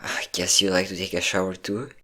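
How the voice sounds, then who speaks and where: A performer with a low voice playing an insecure, depressive young adult best friend in an intimate, halting audio roleplay monologue. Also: flat delivery, pushed and bright. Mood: soft, affectionate. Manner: emotional, confiding, halting.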